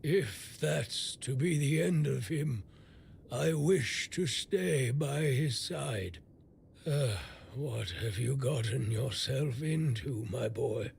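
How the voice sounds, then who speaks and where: An actor playing a bitter, blunt, middle-aged blacksmith in a video game, grumbling and weary with worry. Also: male voice, mid-range voice, slow, creaky, a little mellow, slight background noise. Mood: gruff, scornful. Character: gruff, bitter male blacksmith, worried for a stricken young man.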